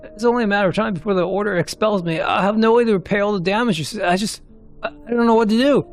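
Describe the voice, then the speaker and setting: A man's voice: high-pitched, fast talker, soft background music. A man voicing an anguished, apologetic, self-doubting mage in a narrated game cutscene, with a hesitant, pleading manner. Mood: despairing.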